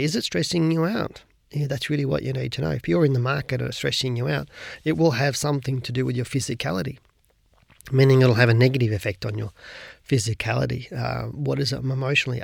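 A man talks through a solo podcast, coaching his listeners with stacked rhetorical questions. His voice sits in a middle register with a gravelly, slightly croaky edge. The sound is dry and close, with no room around it. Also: mid-range voice, dry recording. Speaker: podcast host. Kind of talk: casual solo podcast advice.

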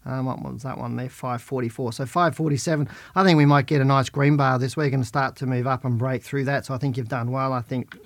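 Croaky man with a head cold. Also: mid-range voice, steady tone, a little fast, dry recording. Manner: chatty, plain-spoken, talks while reading charts. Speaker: podcast host answering listener questions. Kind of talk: casual market commentary.

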